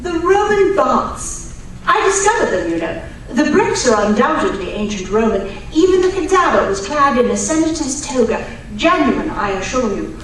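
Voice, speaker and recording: Heard from far off in a very echoey hall, a woman plays a genteel lady archaeologist in a radio play. She is eager, polite and earnest, with a fairly high voice over faint noise. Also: sing-song, moderate pace. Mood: earnest, proud. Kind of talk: old-time radio drama acting on stage.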